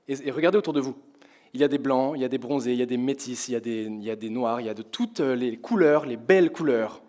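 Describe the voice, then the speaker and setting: Hoarse young male pastor, conversational. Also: medium pitch, darkish tone. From France. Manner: conversational, asks the congregation questions. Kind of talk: sermon. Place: church hall with congregation. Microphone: headset with in-ear monitor.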